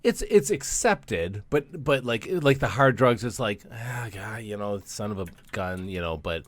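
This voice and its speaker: A man's voice: fairly low pitch, animated, a little slow, no echo. A man co-hosting a podcast, teasing and laughing through casual banter. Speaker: podcast co-host. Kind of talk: casual chat.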